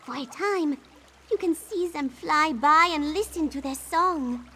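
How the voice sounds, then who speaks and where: A woman voicing an eccentric, excitable potion brewer in a video game, theatrical and effusive. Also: sits high, measured pace. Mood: dreamy wonder. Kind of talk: video game character dialogue.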